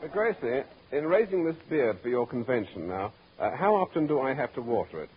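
Actor as a hesitant, earnest comic stooge. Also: male voice, mid-range voice, big pitch swings, fairly quick, close to an everyday voice. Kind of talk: 1940s radio comedy acting. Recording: muffled, noisy vintage radio broadcast.

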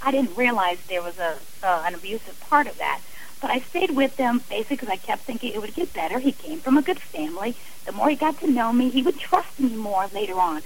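A woman's voice: on the high side, lively intonation, brisk, a little hiss or hum, rough recording. Earnest, emphatic woman guest. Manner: earnest and emphatic, repeating phrases for stress. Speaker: author and interview guest. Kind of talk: radio interview.

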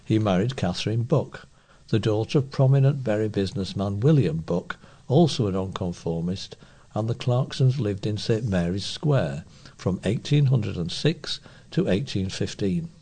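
A British man reads a written feature aloud as a volunteer newsreader. His voice sits fairly low and is noticeably mellow. There is a husky grain to it throughout. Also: lively intonation, moderate pace. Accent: British English. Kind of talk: reading a feature article aloud.

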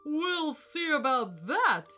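Woman acting giant male elephant, children's story, boastful, condescending, booming, bright forceful tone, drawn-out pace. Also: big pitch swings, heavily acted. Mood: gloating.

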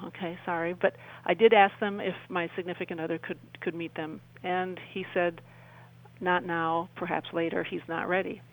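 Older woman with a gravelly, creaky voice. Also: fairly low pitch, low-quality recording. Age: older, about 60s. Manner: halting, rambling, often rephrasing mid-sentence. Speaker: interview guest, UFO experiencer. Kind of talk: conversational radio interview storytelling.

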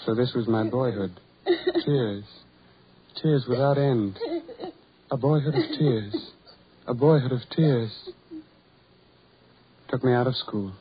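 A man's voice: medium pitch, slow, pauses often, a little hiss or hum, close to an everyday voice. An actor playing a tormented man mocked all his life as the ugliest man in the world, muttering a broken inner monologue in 1940s radio acting. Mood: despairing. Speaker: narrator-protagonist. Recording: muffled 1940s radio recording.

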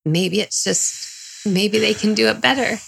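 A woman's voice: mid-range voice, unhurried, vocal fry, a bit bright, slightly rough, poor audio. A woman in her thirties talking candidly about herself as an interview guest, in a reflective, confiding, self-questioning way. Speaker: interview guest, single parent. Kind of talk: candid personal conversation.

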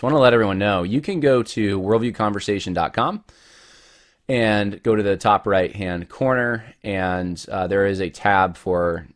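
A man hosting his own podcast, talking casually and rambling with many restarts while he has a cold. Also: sits low. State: has a cold, voice deeper than usual. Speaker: podcast host. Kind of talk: casual solo podcast talk.

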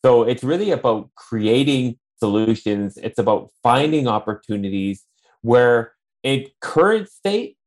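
A man hosting an interview podcast, introducing his guest earnestly and warmly, with fillers and restarts. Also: medium pitch. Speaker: podcast host.

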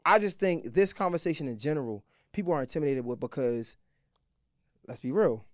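A man's voice: on the high side, expressive, fairly quick, no room sound, studio quality. A man in his thirties talking casually and slangily as a podcast interviewer, often repeating words as he forms his points. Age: 30s.

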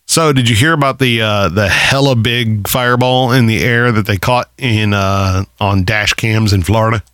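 A man's voice: fairly low pitch, fairly bright tone, smooth, no echo, clean studio sound. A man co-hosting a casual podcast, talking in a loose, profane way and stretching words out as he makes a point. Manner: loose, profane, drawn-out delivery. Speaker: podcast co-host. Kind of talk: casual chat.

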